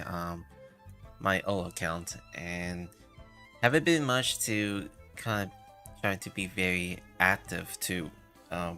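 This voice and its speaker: Man, gravelly low voice, casual livestream chat, meandering delivery, music in the background. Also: sharp tone. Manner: halting, meandering. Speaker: live streamer. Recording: game music behind the voice. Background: video game music.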